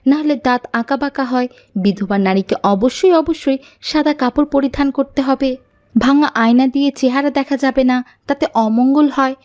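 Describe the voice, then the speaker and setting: A woman's voice: high voice, darkish tone, close to an everyday voice. A woman voicing an earnest, pious young girl who explains and corrects in an Islamic cartoon. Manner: didactic, explanatory. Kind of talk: Islamic cartoon dialogue, moral lesson.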